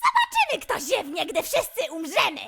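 A woman voicing an excitable, mischievous, adventure-loving little girl in a cartoon fan dub. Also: extremely high pitch, normal speed, background noise, full character voice. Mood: excited. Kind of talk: animated series fan dub.

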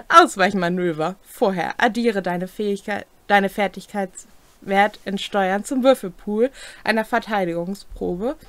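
A woman's voice: medium pitch, unhurried, dry recording. A young adult woman co-hosting a tabletop game explainer, reading scripted rules aloud in a room at home. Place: small home room, indoors.

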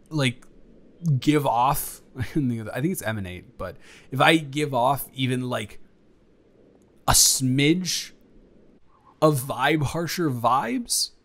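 A man's voice: medium pitch, a bit bright. A young man playing a nervous, devoted friend in an improvised romantic audio roleplay, talking conversationally with stammers and rambling. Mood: flustered, joking. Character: nervous, devoted young male friend about to confess love.

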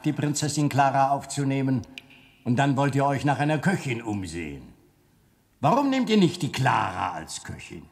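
A noble, reasonable member of a fairy-tale council in a radio play. He speaks slowly and earnestly, with lively, wide intonation. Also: medium pitch. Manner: earnest, measured. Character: noble, reasonable male spring day pleading at council.

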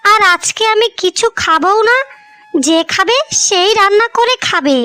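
A woman's voice: extremely high pitch, expressive, measured pace, pushed and bright, no echo, exaggerated voice. A woman playing a hard-working, irritable elder daughter-in-law in a village moral-story cartoon, scolding and complaining. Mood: sulky, demanding.

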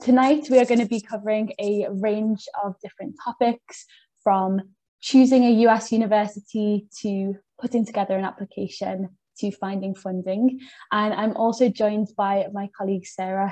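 A woman's voice: mid-range voice, fairly even intonation, normal speed, mellow, no echo, rough recording. A young British woman from the UK presenting an education webinar over a video call, upbeat and friendly, with frequent fillers. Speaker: webinar host and education advisor.

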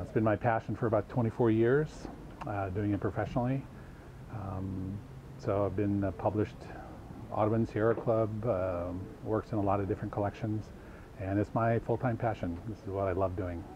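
Fifties man from Indiana, photographer, low and mellow, matter-of-fact, dull outdoor audio. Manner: plain, matter-of-fact explaining. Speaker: landscape photographer. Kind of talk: artist interview at booth. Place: outdoor festival tent booth. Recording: muffled audio with faint outdoor hiss.

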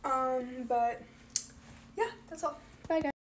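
A teenage girl chatting casually while doing her makeup, talking in a soft half-whisper and filling her sentences with "like", recorded on a phone at arm's length in her bedroom. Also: high-pitched, slow pace, slight background noise. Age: teens. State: losing her voice from coughing. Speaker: vlogger. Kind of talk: casual get-ready-with-me chat. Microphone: phone camera at arm's length.

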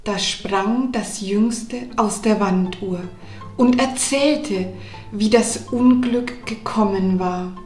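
A woman narrating a scripted children's fairy tale deliberately, pausing between phrases. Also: mid-range voice, a little mellow, reverberant, soft background music. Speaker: storyteller.

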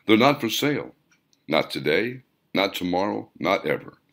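A man voicing a cool, controlled male auction dealer in an audiobook, calm and firm. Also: deep voice, normal speed, full character voice.

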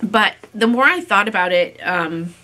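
Woman, 50s, raspy from a cold, bright voice, chatty, many ums, casual vlog to camera, small room at home. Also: mid-range voice, lively intonation, measured pace. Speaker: fiber-craft vlogger. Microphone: camera in front at desk distance.

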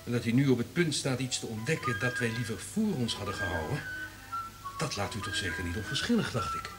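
An actor playing a wary, calculating subordinate in a radio play, deferential but insistent. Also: male voice, mid-range voice, big pitch swings, brisk, loud music behind the voice, natural voice.

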